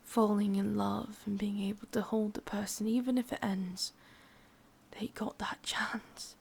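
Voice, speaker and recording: A woman with an English accent voicing an ancient, lonely, lovesick witch in a breathy, husky whisper for an ASMR roleplay. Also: mid-range voice, fairly even intonation, a little mellow. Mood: wistful, envious. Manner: slow, brooding, intimate delivery.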